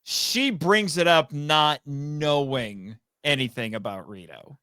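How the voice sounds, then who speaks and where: Male podcast guest, crisp resonant voice, conversational storytelling, very dry sound. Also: fairly high pitch, slow pace, bright, forceful tone, no echo. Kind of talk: casual chat, explaining a story.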